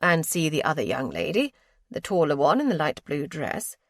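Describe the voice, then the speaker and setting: A woman's voice: sits low, very animated, moderate pace, no room sound, studio quality, exaggerated voice. A woman voicing a fussy older aristocratic aunt who is busy matchmaking, speaking in a genteel, coaxing way.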